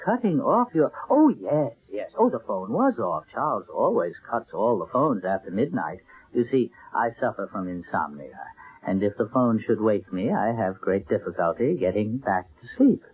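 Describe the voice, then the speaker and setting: A husky-voiced wealthy man fends off accusations in a 1970s mystery play. His speech is formal and wordy, with hesitant stammers and wide swings of pitch. The sound is a tinny, narrow old broadcast. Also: very animated, normal speed, heavily acted. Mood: defensive. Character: wealthy man, evasive host, feigning innocence under accusation. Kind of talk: 1970s radio mystery acting. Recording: tinny, band-limited old radio broadcast.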